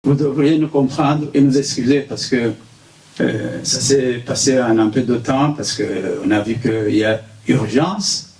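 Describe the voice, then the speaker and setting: Gravelly distant man at a press conference. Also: medium pitch, a little slow, darkish tone. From Burundi. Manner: hesitant, many fillers, earnest. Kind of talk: unscripted remarks at a press conference. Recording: echoey room, distant mic, faint hiss.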